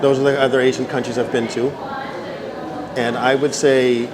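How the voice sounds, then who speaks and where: A chatty man in his fifties from Canada talks freely as an interview guest, often tagging his points with a quick "right?". His pitch sits in an ordinary middle range. A busy crowd murmurs clearly behind him. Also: little pitch movement, low-quality recording. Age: 50s. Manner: talkative, repeats "right?" for agreement. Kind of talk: casual interview answers.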